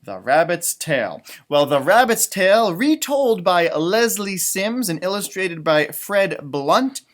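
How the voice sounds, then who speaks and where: A man's voice: high voice, measured pace. A man who teaches, doing a children's storybook read-aloud with playful, rambling, chatty asides. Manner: animated, rambling, playful commentary.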